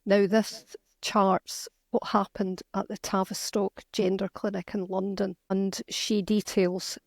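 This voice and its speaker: A middle-aged Scottish woman speaks in a conversational interview, earnest and emphatic, often restarting her sentences. Her voice carries a gravelly fry. The close recording is dry, with no room sound. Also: mid-range voice, little pitch movement, takes it slowly, darkish tone, no echo. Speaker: author and guest.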